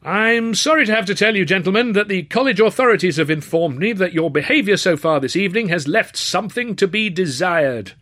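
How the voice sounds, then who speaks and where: A man's voice: high voice, expressive, a little fast, few pauses, sharp tone, voice pushed up, heavily acted. A man voicing a hearty master of ceremonies in an audiobook, addressing a room in a formal, florid announcer's manner. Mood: mock apologetic. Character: bellowing, hearty male master of ceremonies at a concert. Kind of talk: audiobook character voice.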